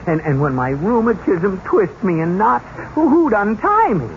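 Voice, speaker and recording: An actor playing a sarcastic, put-upon husband in 1940s radio comedy, with wry, exaggerated reactions. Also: male voice, sits high, sing-song, moderate pace. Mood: mock feeble. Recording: muffled, hissy old radio recording.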